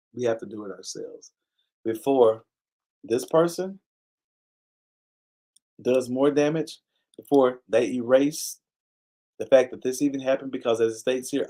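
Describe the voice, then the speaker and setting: A man hosting a live online talk show, speaking earnestly in a preacher-like cadence, repeating key phrases and stopping to thank viewers by name. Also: medium pitch. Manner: pauses to give viewers shout-outs. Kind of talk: casual live-stream commentary.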